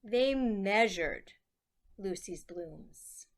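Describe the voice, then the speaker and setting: A woman reading a picture book aloud to children in an expressive, deliberate way, with pauses between short phrases. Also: mid-range voice. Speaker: children's storyteller.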